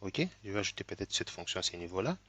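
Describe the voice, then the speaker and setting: A man's voice: deep voice, expressive, fairly bright tone, no room sound. A man with a cold teaching a coding tutorial step by step, often checking in with "ok?". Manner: slow, step-by-step, frequent "ok?" checks. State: has a cold. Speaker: programming tutor. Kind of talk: screen-recorded coding tutorial.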